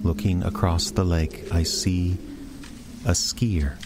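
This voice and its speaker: An actor playing a dazed office man in a radio play monologue, speaking in halting, deliberate short phrases. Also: low-pitched, steady tone, loud music behind the voice. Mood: calm wonder. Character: dazed office man haunted by a moose, slow and hushed.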